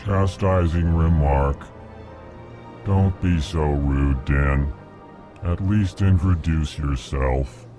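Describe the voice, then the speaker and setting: A man voicing a huge, courteous alien ambassador in a video game, speaking in a formal monotone and flatly naming each feeling before he speaks. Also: rumbling low, slow pace, music in the background. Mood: deadpan rebuke. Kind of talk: video game character dialogue.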